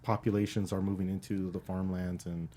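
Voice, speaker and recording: A man giving casual film opinions as a panel critic, speaking in a halting, plain-spoken way. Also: sits low, little pitch movement, mellow. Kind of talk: casual film review chat.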